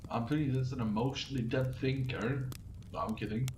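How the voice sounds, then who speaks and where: A man chatting casually on a live stream, rambling and haltingly thinking aloud. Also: medium pitch, darkish tone. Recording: roomy echo, some background noise.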